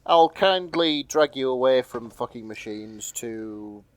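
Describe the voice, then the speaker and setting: A man's voice: mid-range voice, lively intonation, takes it slowly, background noise. A man co-hosting a podcast in casual, sweary, joking banter. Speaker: podcast co-host.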